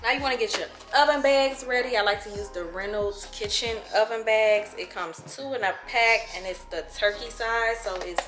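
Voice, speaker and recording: Chatty woman over loud background music. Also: on the high side, normal speed, few pauses. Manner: relaxed, chatty instructions with fillers. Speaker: cooking vlogger. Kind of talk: casual cooking walkthrough. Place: home kitchen, indoors.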